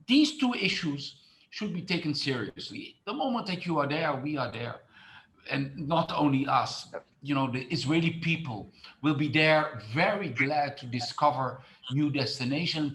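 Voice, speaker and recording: A man's voice: on the high side, fairly bright tone. A middle-aged man from the Netherlands, a tourism marketing expert who speaks with many fillers and restarts in an online presentation.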